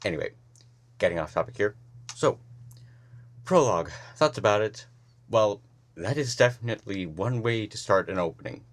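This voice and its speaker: Low-voiced man rambling casual commentary. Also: lively intonation. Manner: rambling, halting, restarts words. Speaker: co-host commenting on a reading.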